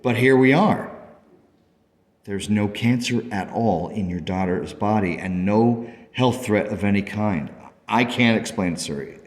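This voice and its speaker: Guy acting a low voiced earnest dad in a radio drama, emotional, big echoey hall sound. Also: a little slow, lightly acted. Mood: matter-of-fact. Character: earnest, grateful father of a young daughter, searching for answers. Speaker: actor narrating a radio play. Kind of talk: dramatic first-person storytelling. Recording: long reverberant hall echo.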